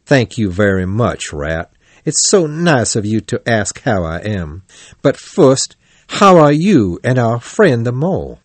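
A man's voice: medium pitch, sing-song, takes it slowly, no room sound. A man voicing a melodramatic, self-pitying male toad in an animal storybook, in a weak, plaintive, martyr-like way. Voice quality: weak, faint.

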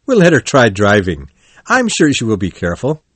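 A man voicing a courteous, trusting gentleman motorist in a storybook, speaking politely and with emphasis. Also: on the low side, wildly swooping pitch, moderate pace, dry recording. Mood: kindly.

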